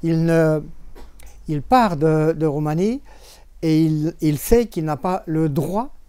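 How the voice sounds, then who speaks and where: Hesitant man with a mellow voice. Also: fairly high pitch, slow, clear, resonant tone, dry recording. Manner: hesitant, many repeated words. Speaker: translator. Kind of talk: bookshop panel discussion. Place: bookshop event.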